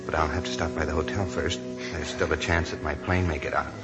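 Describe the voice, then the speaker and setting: Deep-voiced, hesitant lieutenant. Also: male voice, fairly even intonation, fast talker, lo-fi sound. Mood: gentle. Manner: hesitant, courteous. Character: polite, awkward, earnest young army lieutenant. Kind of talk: 1940s radio drama acting. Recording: 1940s radio broadcast with loud music.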